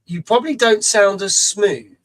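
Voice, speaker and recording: A middle-aged man with a rough voice, hosting a live stream, chatty and reading out viewers' messages with casual swearing. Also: high-pitched, normal speed. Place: small home studio.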